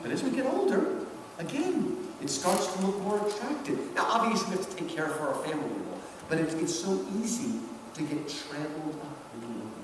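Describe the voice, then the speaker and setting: A man's voice: on the high side, very animated, fairly quick. A man in his fifties giving an emphatic public lecture, putting questions to his listeners and checking that they follow. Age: 50s. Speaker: lecturer, professor. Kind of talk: animated public lecture commentary. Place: large indoor hall. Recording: echoey hall, distant mic, background noise.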